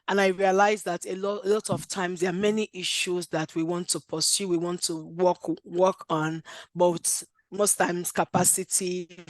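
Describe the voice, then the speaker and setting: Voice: mid-range voice, dry recording. Nigerian speaker giving a conference talk. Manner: earnest, many "um" fillers and restarts. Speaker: lawyer and workshop speaker. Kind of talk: conference speech.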